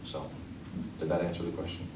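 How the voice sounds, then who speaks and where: A man from a trade union answering questions at a community meeting, talking earnestly and restarting his sentences often. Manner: earnest, halting, many restarts. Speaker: union representative. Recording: echoey room, distant mic, noise.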